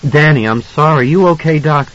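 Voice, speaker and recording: A man's voice: on the high side, normal speed, a bit bright, dull audio, voice pushed up, natural voice. A man voicing a tense, volatile father in an audiobook, speaking with insistent control. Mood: remorseful. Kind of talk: audiobook character acting.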